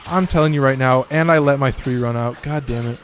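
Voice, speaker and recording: A man with a slightly hoarse, raspy voice, giving loose and profane casual commentary while streaming a game. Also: mid-range voice, fairly quick, a little hiss or hum. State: a little hoarse. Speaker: gaming streamer.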